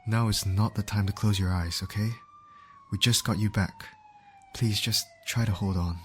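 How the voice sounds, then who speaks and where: Guy playing a caring army-style field medic, deepish voice, reassuring asmr roleplay, noisy siren ambience behind. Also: steady tone, normal speed, fairly bright tone, close to an everyday voice. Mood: urgent, encouraging. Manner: reassuring, talking directly to an injured patient. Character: male field medic, calm, caring, urgent under pressure.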